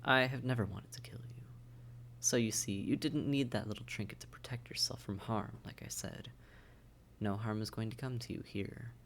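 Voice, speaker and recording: A man playing a taunting, predatory vampire in a roleplay audio drama, with mock-tender menace and breathy, coaxing speech. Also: on the low side, normal speed, a bit bright. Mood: silky, gloating. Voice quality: breathy, often whispered, creaky. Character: silky, taunting, predatory vampire toying with captive hunter. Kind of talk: immersive roleplay audio drama, often whispered.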